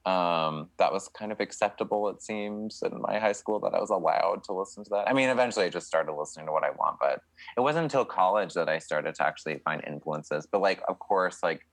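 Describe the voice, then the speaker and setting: Low-voiced young man, chatty and candid. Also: fairly quick, a bit bright, no room sound. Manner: chatty and candid, many likes and fillers. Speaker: guest, country singer. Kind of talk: casual interview answers.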